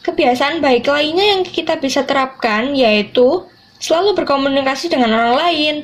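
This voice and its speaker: A woman's voice: high-pitched, normal speed. A teenage young woman, giving scripted educational narration as a student podcast presenter. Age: teens, high school student.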